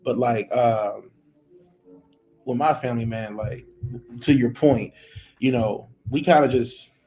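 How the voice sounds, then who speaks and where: A man from Louisiana, a guest on a casual podcast, talking in a quick, emphatic way. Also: medium pitch, steady tone, darkish tone, low-quality recording. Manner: quick, emphatic, punctuated by "you know what I'm saying".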